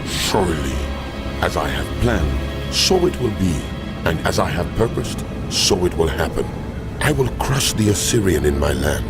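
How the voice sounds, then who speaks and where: An actor playing God as a mighty, wrathful lord, solemn and deliberate, in a dramatized scripture recording. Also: male voice, low-pitched, sing-song. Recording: loud music under the voice.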